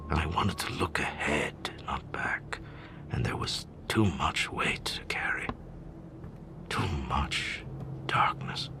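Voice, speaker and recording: Very deep, gravelly whispering old man. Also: exaggerated voice. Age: older. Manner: slow, somber storytelling. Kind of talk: video game cutscene monologue.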